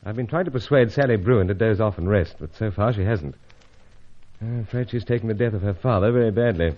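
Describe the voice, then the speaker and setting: A man acting a steady, gentlemanly British secret agent with clipped, composed speech in an old-time radio adventure serial. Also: fairly low pitch, fairly quick, muffled recording, lightly acted. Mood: calm, commanding.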